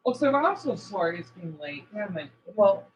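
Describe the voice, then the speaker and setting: High-pitched man, rambling and halting. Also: very animated, breathy edge, dull audio. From United States. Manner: rambling, halting, jumping between topics. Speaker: live streamer. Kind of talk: casual chat with live viewers.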